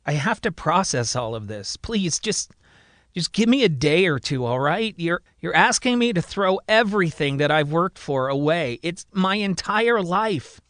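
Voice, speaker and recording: Man voicing an exasperated woman doctor, pitched up. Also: sing-song, measured pace, a bit bright, no room sound. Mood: weary, pleading. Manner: exasperated, emphatic, reluctant. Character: exasperated, reluctant woman doctor, sceptical and overwhelmed. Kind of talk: dramatised dialogue in a storytelling podcast.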